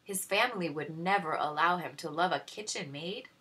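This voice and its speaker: A woman reading a children's story aloud in expressive narration. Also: fairly low pitch, moderate pace, low-quality recording. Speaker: story reader.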